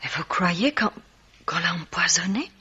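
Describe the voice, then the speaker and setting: An actress playing a proud, jealous bereaved woman in a radio mystery, curt, haughty and breathy. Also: on the low side, expressive, a little slow, pushed and bright, full character voice. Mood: indignant, uneasy. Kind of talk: French radio mystery drama acting. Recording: dull vintage-style radio recording.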